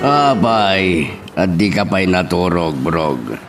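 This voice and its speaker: A man's voice: sits low, sing-song, slow, over loud music, heavily acted. A man playing an arrogant, scheming landowner in an audio drama, with a commanding, scoffing manner. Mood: stern. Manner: commanding, scoffing, taunting his henchman. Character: arrogant landowner senyor plotting and scoffing at threats. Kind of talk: radio-style Ilocano drama acting. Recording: distant mic, faint background noise.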